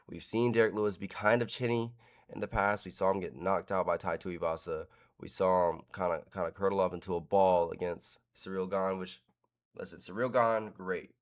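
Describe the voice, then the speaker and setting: Man, host, casual predictions, rambling, frank, swears, deep voice.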